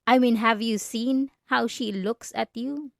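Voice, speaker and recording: A woman's voice: fairly high pitch, fairly even intonation, unhurried, gravelly, dry recording, studio quality. A young woman playing a jealous, condescending yandere student bully in an ASMR roleplay, speaking straight to the listener with sarcastic, taunting emphasis. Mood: jealous, scornful.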